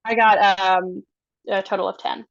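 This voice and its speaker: Halting woman player on dull-sounding audio. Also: mid-range voice, steady tone, creaky. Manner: halting, thinking aloud. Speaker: tabletop game player. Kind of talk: casual, improvised roleplay.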